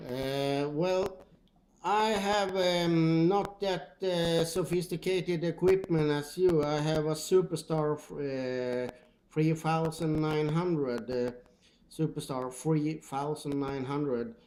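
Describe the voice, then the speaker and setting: A Swedish-accented man talks casually as a CB operator, with a halting, hesitant delivery. His voice is a little high and very unhurried, with faint noise behind it. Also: fairly even intonation, darkish tone, low-quality recording. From Sweden. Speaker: CB radio operator. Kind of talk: casual two-way radio chat. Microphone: old radio microphone.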